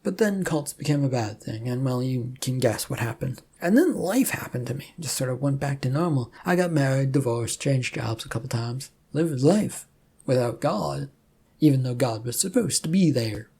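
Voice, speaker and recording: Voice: mid-range voice, animated, normal speed, vocal fry, warm tone, husky. A storyteller voicing a chatty, cheerful old man who breezily claims to be a prophet in an audiobook. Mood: wistful. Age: older. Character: old George, slow cheerful eccentric self-proclaimed prophet.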